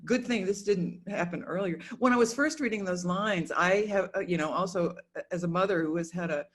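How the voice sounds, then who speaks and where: A mature woman talking haltingly and informally in a casual discussion over a video call. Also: lowish voice. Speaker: volunteer reader, discussion participant.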